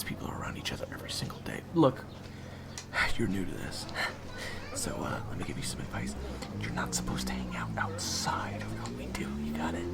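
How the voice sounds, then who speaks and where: Man acting a jittery, gravelly young waiter. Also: extremely low pitch, exaggerated voice. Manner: stammering, flustered, over-chummy then hissing and sweary. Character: jittery young male waiter, secret monster hunter. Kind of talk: audio roleplay acting. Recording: clearly noisy background.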